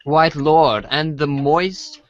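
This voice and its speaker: A man reading a storybook aloud in a dramatic read-along style, halting and stumbling over long words. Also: fairly high pitch, dull audio, a little hiss or hum. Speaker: reader in a comedic reader's theater show.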